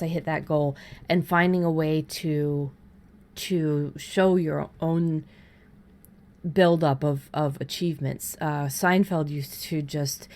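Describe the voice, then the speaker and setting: A woman's voice: deep voice, a little mellow. A woman hosting a podcast, explaining things in an informal, conversational way. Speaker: podcast host. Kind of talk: casual conversational podcast discussion.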